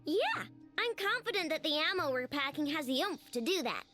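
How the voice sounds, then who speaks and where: A woman playing a boastful, quick-witted young female mechanic in an anime game, with a cocky, theatrical manner. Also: high voice, very animated, bright, forceful tone, faint music behind, exaggerated voice. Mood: eager.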